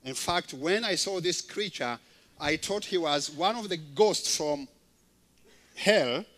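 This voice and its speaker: A man in his fifties from Rwanda, a guest preacher giving a testimony sermon in a halting way, with frequent fillers and gentle humor. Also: a little high.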